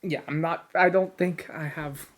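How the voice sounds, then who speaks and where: A man's voice: fairly high pitch, steady tone, measured pace, fairly bright tone. A man co-hosting a podcast in casual, comedic chat, with a laid-back, jokey manner. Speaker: podcast co-host.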